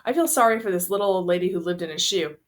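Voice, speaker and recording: A woman voicing a loving, proud mother's letter in an affectionate, gently encouraging way, as part of a story reading. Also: fairly low pitch, expressive, fast talker, natural voice.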